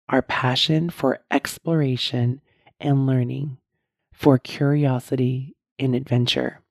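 Middle-aged female podcast host, hoarse and husky, low mellow tone, close dry mic, earnest coaching delivery. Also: no echo. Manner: earnest, encouraging, repeats key points slowly. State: hoarse, strained voice. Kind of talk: casual solo podcast talk.

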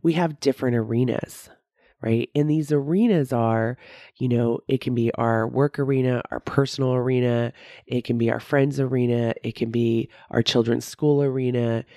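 A woman's voice: rumbling low, steady tone, normal speed, warm tone, no echo, studio quality. A woman of about fifty with a head cold, hosting a solo podcast as a coach and talking conversationally, often tagging sentences with "right?". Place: recording studio.

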